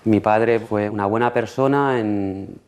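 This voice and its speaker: Man in his 30s, very deep, slow, hesitant, faint background noise. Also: a little mellow. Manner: hesitant, repeating words. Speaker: gardener. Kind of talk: documentary interview.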